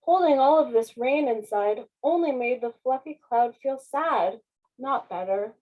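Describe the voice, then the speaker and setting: A woman's voice: high-pitched, expressive, a little slow, narrow, tinny audio. A woman, a children's author, speaking at a public reading of a picture book. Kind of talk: slow storybook narration.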